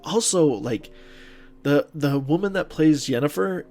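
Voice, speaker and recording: A man's voice: fairly high pitch, animated, measured pace, a little mellow, soft background music. A man co-hosting a podcast, chatting casually and informally about films and games with gushing opinions. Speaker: podcast co-host.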